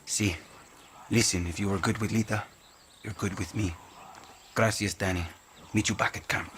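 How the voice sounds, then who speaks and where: An actor playing a blunt, profane veteran guerrilla fighter coaching a recruit. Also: male voice, on the low side, steady tone, normal speed, natural voice. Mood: gruff. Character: gruff veteran male rebel guerrilla. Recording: game audio with loud ambient noise.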